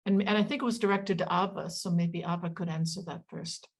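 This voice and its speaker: A woman moderates a poetry panel and passes audience questions to the guests. She speaks haltingly and restarts her sentences. Her voice is husky and sits a little low. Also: steady tone. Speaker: event host.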